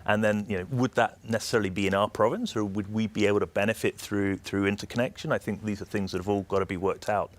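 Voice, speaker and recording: Man, British accent, lowish pitch, measured explanatory delivery, interview guest. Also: fairly even intonation. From United Kingdom. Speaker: energy system operator executive, interview guest. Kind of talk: interview answers.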